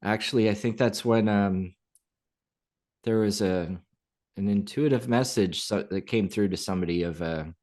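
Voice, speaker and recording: A man hosting a podcast interview, talking in a loose, rambling way that often trails off softly. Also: lowish voice, normal speed. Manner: rambling, many fillers and restarts, trailing off softly. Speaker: podcast host. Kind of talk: interview conversation.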